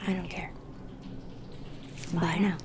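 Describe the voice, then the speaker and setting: A woman playing a haughty, sinister dark fairy in an ASMR roleplay, whispering in a dismissive, sardonic way. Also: fairly low pitch, flat delivery, takes it slowly, noisy background. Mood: dismissive, bored.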